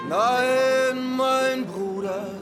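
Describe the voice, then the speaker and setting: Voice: high-pitched, extremely slow. A performer playing a music-hall singer delivering a romantic ballad in a theatrical way in a radio drama. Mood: solemn. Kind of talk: slow theatrical ballad singing.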